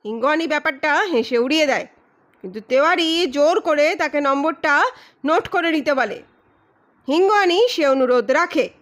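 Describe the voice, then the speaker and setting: A blustering male private detective from an audio mystery, acted out by a woman. The voice is very high and loud, with emphatic, declamatory delivery. He comes across as boastful, ruthless and menacing. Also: animated, normal speed. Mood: heated, insistent. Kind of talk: dramatized audiobook reading.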